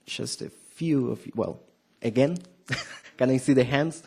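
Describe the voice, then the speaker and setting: A man with a thick Argentine Spanish accent delivers a Sabbath sermon at an unhurried pace. He halts often, restarts his sentences and fills gaps with "um". He keeps turning to the congregation with questions. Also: medium pitch, vocal fry, a little mellow, slightly rough. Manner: hesitant, many fillers and restarts, asks the audience questions. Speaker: preacher.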